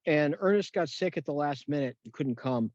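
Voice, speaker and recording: A man's voice: on the high side, lively intonation, normal speed, a little mellow. A man casually sharing memories in a group video meeting. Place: Zoom video call. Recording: dull lo-fi video call audio.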